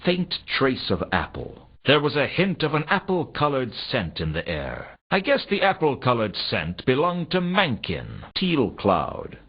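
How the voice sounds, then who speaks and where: An actor playing a weary, wry werewolf private detective, narrating a noir game's inner monologue in a deadpan, brooding way. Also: male voice, medium pitch, measured pace, few pauses, husky, heavily acted. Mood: deadpan, observing. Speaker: narrator and protagonist of a detective adventure game. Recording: dull, compressed 1990s game audio.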